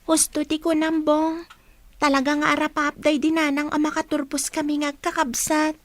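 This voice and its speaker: A woman with an Ilocano accent playing a dutiful, gentle student and eldest sister in a radio drama. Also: high voice, little pitch movement, normal speed, no room sound, lightly acted. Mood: earnest.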